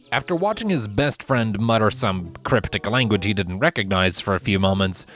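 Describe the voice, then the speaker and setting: A man narrating a fantasy story from a script in an expressive, dramatic way. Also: medium pitch. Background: music.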